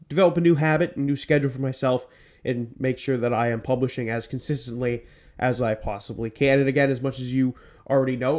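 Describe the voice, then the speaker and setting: A man's voice: mid-range voice, a little mellow. A man hosting his own podcast, sounding stuffed up from a cold, moving from scripted narration into rambling talk full of ums. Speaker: podcast host. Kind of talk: scripted narration then casual chat.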